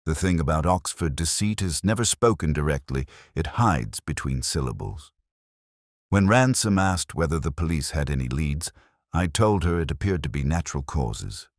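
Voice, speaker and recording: Male actor as older melancholy detective inspector for audio drama: extremely low voice, lively intonation, measured reflective narration. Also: no echo, clean studio sound, heavily acted. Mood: brooding, reflective. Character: melancholy, observant older male English police inspector recounting a case. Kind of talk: scripted first-person detective narration.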